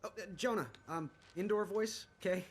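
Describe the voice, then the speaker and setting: A man's voice: sits high, normal speed, noisy background. A man playing a good-natured, wisecracking young superhero in a casual, joking way for a video game. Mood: awkward, friendly. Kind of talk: video game cutscene acting.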